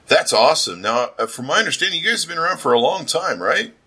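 A man's voice: on the high side, very animated, fast, pushed and bright, breathy edge. A man hosting a casual promotional interview as a podcaster, talking with slangy, gushing enthusiasm.

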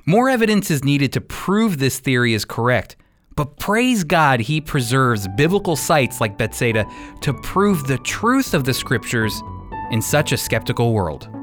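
A man's voice: medium pitch, big pitch swings, measured pace, a bit bright, faint music behind. A man hosting a radio teaching program, presenting and interviewing.

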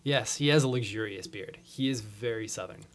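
A man's voice: fairly low pitch, a little fast. A man giving recommendations as a podcast guest in casual, enthusiastic, informal chat.

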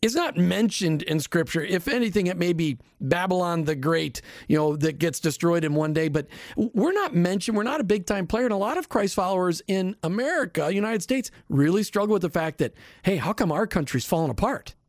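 A man's voice: high voice, animated, brisk, few pauses, dry recording. A male radio host in a studio, chatting with enthusiasm and stumbling over his starts. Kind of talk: casual radio talk.

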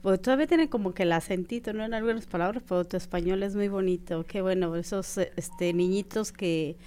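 A woman's voice: medium pitch, a little fast, dark tone, a little hiss or hum. A middle-aged woman interviewing students, asking friendly questions in an encouraging manner with many fillers.

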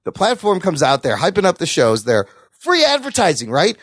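A man hosting a podcast, chatting casually about news with co-hosts in an excitable, sweary way. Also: high voice, moderate pace, no echo, clean studio sound. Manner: excitable, profane. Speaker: podcast host.

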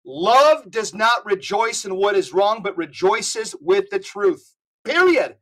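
Male podcast guest, emphatic preacher's delivery, spells out details slowly. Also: sits high, big pitch swings, a bit bright. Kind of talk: podcast conversation.

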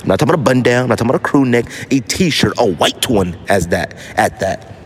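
A man's voice: mid-range voice, animated, quick pace, slight background noise. An American man hosting a casual solo podcast, talking in a slangy, emphatic way and repeating phrases for effect. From United States. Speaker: podcast host.

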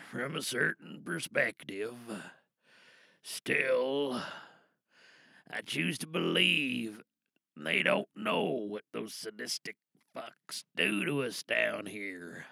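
A man playing a dying, bitter rebel in an audio drama, with a gravelly voice and weary, halting, pained delivery. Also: mid-range voice, bright, forceful tone, dry recording, pitched below the performer's own voice, full character voice. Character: dying, bitter, angry cyberpunk rebel recording a last message.

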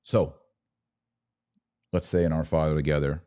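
A man hosting a religious podcast, speaking in earnest exhortation with deliberate repetition, like a preacher addressing his audience. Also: extremely low pitch, level tone, warm tone, clear, resonant tone.

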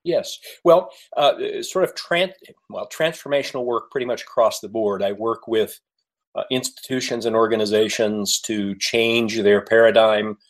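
An American man speaking as a podcast guest in a conversational interview, measured and polite with pauses. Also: fairly low pitch. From United States. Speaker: podcast guest, author and coach.

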